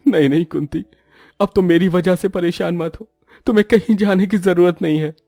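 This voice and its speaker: A man's voice: sits high, moderate pace, vocal fry, warm tone, dry recording, studio quality, faint music behind, voice pushed up. A man voicing a worn-out, apologetic poor father and husband in a moral-story cartoon. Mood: distressed.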